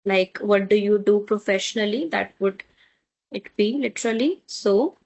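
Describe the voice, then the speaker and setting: A woman whose first language is Tamil, teaching a beginner German class online. She repeats words slowly and keeps checking in with her students. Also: mid-range voice, creaky, darkish tone, highs cut off.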